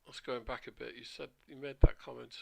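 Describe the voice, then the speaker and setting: A man streaming a flight simulator, chatting casually with rambling asides, his voice a little hoarse. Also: medium pitch, little pitch movement, brisk, a bit bright. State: slightly hoarse. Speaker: flight sim livestreamer. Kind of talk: casual livestream commentary.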